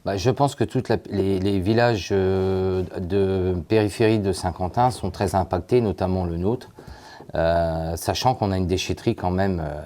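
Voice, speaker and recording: A man answers interview questions as a village mayor. His voice sits low and has a warm, dark timbre. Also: fairly even intonation, measured pace.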